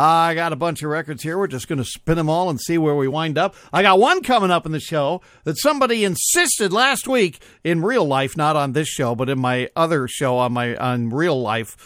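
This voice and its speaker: A man with a slightly high voice hosts a radio oldies show, talking casually into a studio microphone. He rambles and trips over his own explanations. The sound is close and dry. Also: normal speed, fairly bright tone, no echo. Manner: rambling, loses his thread. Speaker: radio host.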